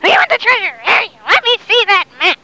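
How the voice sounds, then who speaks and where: A woman voicing a greedy, indignant pirate crewman in a story, loud and demanding. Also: extremely high pitch, big pitch swings, a little fast, a little hiss or hum, pitched above the performer's own voice, heavily acted. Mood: outraged.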